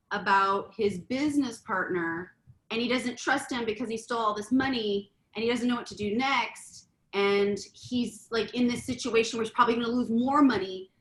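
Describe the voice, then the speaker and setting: A middle-aged woman co-hosting a relationship talk show, earnest and explaining her point at length with examples. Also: a little high. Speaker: podcast co-host. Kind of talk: casual chat. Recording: echoey room, faint ambience.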